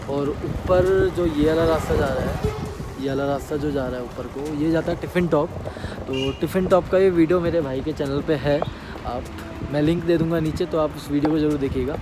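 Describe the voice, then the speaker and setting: A young man in his twenties narrates a travel vlog while he rides and hikes up a misty mountain trail, talking to his viewers in a chatty way. His voice sits a bit high for a man. Loud wind roars over the camera mic, which he holds out at arm's length on a stick. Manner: chatty, addresses viewers directly, asks companions questions. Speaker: motovlogger host. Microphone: handheld camera on a selfie stick at arm's length. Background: loud wind and outdoor noise.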